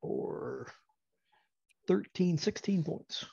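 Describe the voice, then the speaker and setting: Husky, creaky man at a game table. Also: high-pitched, lively intonation, very slow, dark tone, no room sound, lo-fi sound. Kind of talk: casual game-table chat.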